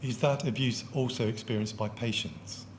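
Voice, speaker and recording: A man, questioning a witness at a public inquiry in a measured, precise and courteous way. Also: mid-range voice, fairly even intonation, a little hiss or hum. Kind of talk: formal questioning. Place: large public hearing hall.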